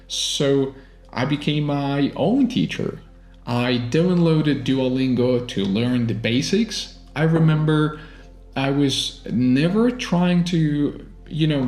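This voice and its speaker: Slow-talking Russian-born man, echoey room. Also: fairly high pitch. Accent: American. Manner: calm, reflective, addresses viewers as guys. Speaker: English teacher and channel host. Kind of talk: personal storytelling vlog.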